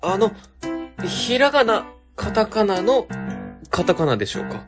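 A woman's voice: medium pitch, very animated, measured pace, over music. A woman playing a timid, deferential junior office worker with hesitant polite speech in a web radio drama.

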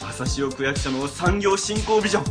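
A man's voice: a little high, expressive, moderate pace, over music, natural voice. A man playing a middle-aged civil servant boss in a comic radio drama, chatty, easily flustered and ingratiating. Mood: nervous, fawning.

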